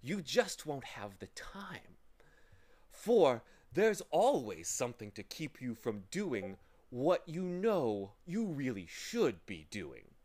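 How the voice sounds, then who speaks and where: A man acts out a villainous demon of petty tasks from a storybook. He is theatrical, sour and falsely coaxing. His slow, weighty delivery swings widely in pitch. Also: big pitch swings, darkish tone. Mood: sly coaxing. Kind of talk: storybook character voice.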